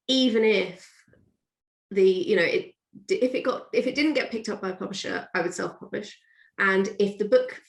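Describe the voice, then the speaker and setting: A woman's voice: mid-range voice, vocal fry. A woman guest author talking casually and with animation in an interview, often acting out what people say. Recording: echoey, roomy sound.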